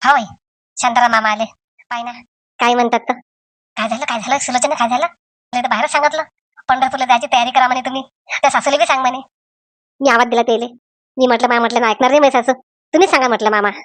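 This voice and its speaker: A woman voicing boastful, excitable villagers in a comedy cartoon, emphatic, with a rural Vidarbha Marathi accent. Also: a little high, quick pace, long pauses, dry recording, natural voice. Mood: excited. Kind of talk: comic cartoon voice acting in village dialect.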